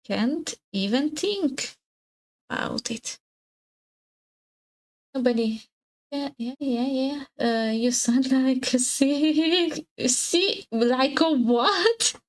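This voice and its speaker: A high-pitched woman talks casually as she streams her art. Her delivery is slow and halting, and she tends to repeat words as she feels her way through a thought. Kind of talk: casual livestream chat.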